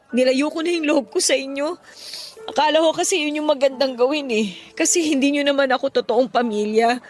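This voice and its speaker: A woman's voice: high-pitched, natural voice. Woman acting a plaintive, remorseful younger sister. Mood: ashamed, pleading. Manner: plaintive, confessional. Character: remorseful, long-suffering younger sister, a struggling single mother. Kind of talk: radio drama acting.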